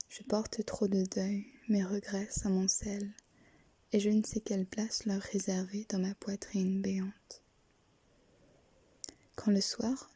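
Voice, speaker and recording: A woman reads a poem almost entirely in a breathy whisper, her voice dark and mellow. She keeps her delivery slow and even, with little rise and fall. The recording is close and dry, with no room sound. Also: mid-range voice, dry recording. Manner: slow, hushed and intimate. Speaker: poetry reader. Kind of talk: whispered poetry reading.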